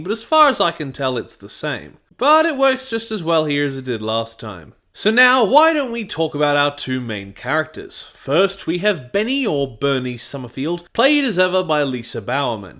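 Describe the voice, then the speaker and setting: Man with lively, higher-pitched delivery. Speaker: reviewer of audio dramas. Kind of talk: scripted review narration, casual.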